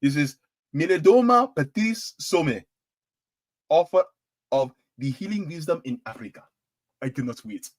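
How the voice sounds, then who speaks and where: A young man hosting a live political show, chatting casually and rambling, with excitable bursts. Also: on the high side, a little slow, long pauses, no echo. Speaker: political commentary host. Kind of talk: casual live-stream sign-off chat.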